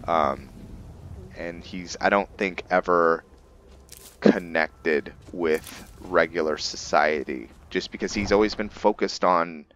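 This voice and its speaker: A man's voice: low-pitched, a bit bright, dull audio. A man giving casual roleplay commentary on a game, musing aloud and hesitating as he thinks through his character. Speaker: gaming streamer and roleplayer.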